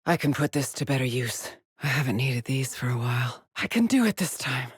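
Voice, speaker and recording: A woman's voice: very deep voice, animated, a little fast, breathy edge, no room sound, clean studio sound, exaggerated voice. An actress playing a weary, haunted woman astronaut in a video game, speaking in terse callouts or brooding murmurs. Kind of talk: scripted game character voice lines.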